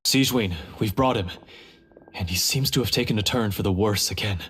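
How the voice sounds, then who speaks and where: Husky, blunt prison guard. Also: male voice, fairly low pitch, brisk, a bit bright. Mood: worried, chatty. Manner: informal, blunt. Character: plain-spoken, protective male prison guard. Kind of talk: video game voice acting.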